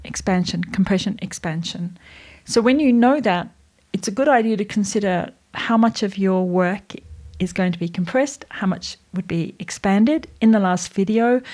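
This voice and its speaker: A woman with an Australian accent and a slightly croaky voice, explaining career changes in a conversational solo video with wry asides. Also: mid-range voice, darkish tone. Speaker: educator on careers and AI.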